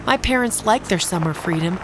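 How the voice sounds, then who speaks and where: A woman playing a wounded preteen camp girl, narrating a horror story in the first person in a deliberate, detached, dramatic way. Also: mid-range voice, expressive, a bit bright, a little hiss or hum, close to an everyday voice, low-quality recording. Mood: wary, resolute. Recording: music behind the voice.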